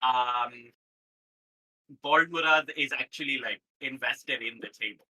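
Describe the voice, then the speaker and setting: A man's voice: mid-range voice, a little slow, bright, forceful tone, rough recording. A man playing in a tabletop role-playing game, talking in a casual, tentative, slangy way.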